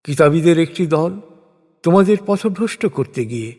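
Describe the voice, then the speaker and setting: A man with a slightly high voice recites religious prose from a script. His delivery is solemn, formal and measured, set out in short declamatory phrases. Long reverb trails each phrase, as in a big hall. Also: lively intonation, mellow, breathy edge. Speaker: narrator of a scripture translation.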